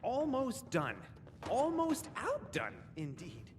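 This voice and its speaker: An eccentric, theatrical video game librarian, fussy and rambling. The voice sits high and lurches all over its range at an unhurried pace, with repeated muttered phrases. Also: female voice, huge pitch swings, a little hiss or hum, exaggerated voice. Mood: fussy, muttering. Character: eccentric, fussy, scatterbrained post-apocalyptic librarian, rambling to themselves. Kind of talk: video game character acting.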